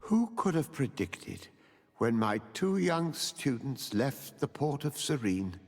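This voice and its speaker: A man's voice: medium pitch, big pitch swings, slow pace, exaggerated voice. An actor playing an older mentor narrating a video game epilogue about his students. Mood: wistful. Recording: reverberant, music behind.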